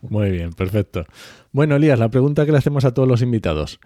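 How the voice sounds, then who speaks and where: A man's voice: medium pitch, lively intonation, fast, warm tone, dry recording. A man co-hosting a podcast in a casual style. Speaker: podcast co-host.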